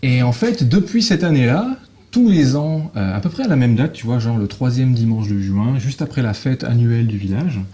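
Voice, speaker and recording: A man's voice: medium pitch, expressive, normal speed, warm tone. A man speaking casually in an interview as a guest, telling stories in colloquial speech. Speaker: guest publisher of visual novels.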